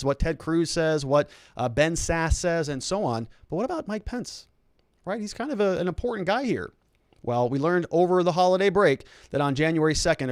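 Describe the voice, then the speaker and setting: A man's voice: a little high, dry recording, clean studio sound. A man with an American accent giving conversational political commentary as a host, explaining points and posing rhetorical questions.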